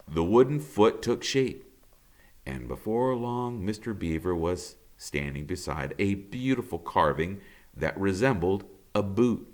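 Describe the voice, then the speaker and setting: A man reading a children's storybook aloud as expressive narration, like a teacher to pupils. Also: low voice, measured pace.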